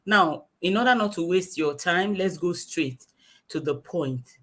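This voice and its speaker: A woman's voice: on the low side, measured pace, a bit bright. Earnest woman teaching English. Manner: earnest, emphatic, repeats points. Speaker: English teacher, webinar guest. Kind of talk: webinar lecture. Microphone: video call.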